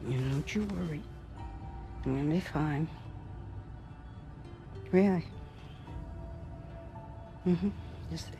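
An old, bedridden woman in a video game. Her voice is breathy and full of gravel, gentle and reassuring with a wry edge, with music underneath. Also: deep voice, takes it slowly, pauses often. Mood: wry, weak. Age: older. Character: tender, weary old woman, bedridden elder. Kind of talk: naturalistic video game acting.

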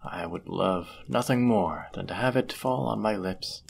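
A man's voice: lowish voice, measured pace, vocal fry, slight background noise. A man playing a flirtatious, courteous medieval fantasy innkeeper in a roleplay audio drama, with formal, ornate, teasing phrasing. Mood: gracious, attentive. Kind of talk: scripted roleplay audio drama, addressing the listener.

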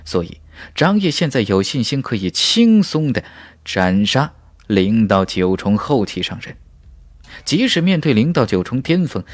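Animated low-voiced male audiobook narrator. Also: no room sound. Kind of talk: scripted fantasy novel narration.

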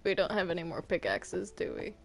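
Gravelly, hesitant gamer with lively pitch. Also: a little fast, faint music behind. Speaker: video game player in a group roleplay. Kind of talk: casual in-game chat.

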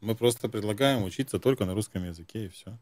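A man's voice: fairly low pitch, quick pace, a little mellow, dry recording, clean studio sound. A middle-aged man hosting an unscripted phone interview as a prankster, questioning in a probing, mock-earnest way. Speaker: prank-call host. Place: indoor room with gaming chair.

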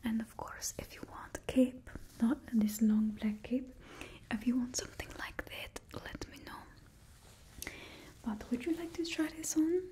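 A woman's voice: fairly high pitch, unhurried, warm tone, natural voice. A young woman in her twenties voicing a confident female supervillain stylist in ASMR roleplay, whispering close to the mic with a put-on Russian accent and fussy, attentive talk that drops small words. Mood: soft, fussing. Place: indoor room in front of a painted backdrop. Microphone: close ASMR mic, moving near and far.

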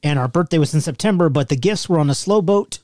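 A man co-hosting a podcast, chatting casually with relaxed, dry humor. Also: a little high, fast talker, no room sound. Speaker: podcast co-host.

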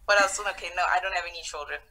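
A woman in her twenties introducing herself quickly and with laughter on a video call, a little flustered. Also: fairly low pitch, sharp tone, slight background noise, poor audio. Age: 20s. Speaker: guest. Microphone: laptop or phone on video call.

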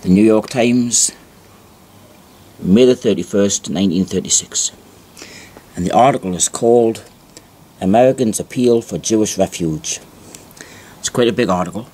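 A man giving an informal show-and-tell narration, reading aloud deliberately and repeating phrases for emphasis, on an amateur home recording. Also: lowish voice, warm tone, slightly rough, rough recording. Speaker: amateur video presenter.

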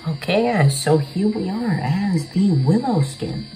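A man's voice: high-pitched, expressive, unhurried, vocal fry, warm tone, rough recording. A young man giving casual, slangy game commentary, talking to his viewers in a laid-back way from a bedroom. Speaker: gaming video host. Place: small bedroom, indoors. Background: music.

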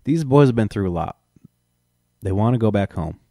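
Man doing a calm drawling sheriff. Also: fairly low pitch, moderate pace, no room sound, studio quality. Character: unhurried Southern county sheriff, middle-aged man.

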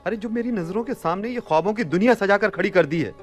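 An actor playing a bewildered young merchant taken for a caliph, with blustering, theatrical delivery in a radio play. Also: male voice, sits high, quick pace, faint music behind. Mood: agitated. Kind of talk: radio play acting in theatrical Urdu-flavoured dialogue.

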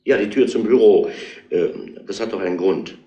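An actor playing a grumbling police inspector in a radio crime drama, probing with hesitant, seemingly casual questions. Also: male voice, low-pitched, normal speed, vocal fry, mellow, lo-fi sound. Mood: polite, insistent. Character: grumbling, probing male police inspector, middle-aged or older. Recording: roomy, noisy old radio play recording.

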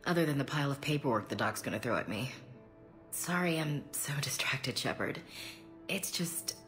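An American actress playing a young soldier in a video game, subdued and weary, hesitant and understated. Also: female voice, rumbling low, lively intonation, moderate pace, a bit bright. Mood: subdued, unsure. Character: young female human soldier, subdued, grieving, dutiful. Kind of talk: naturalistic game dialogue acting. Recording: game music behind dialogue.

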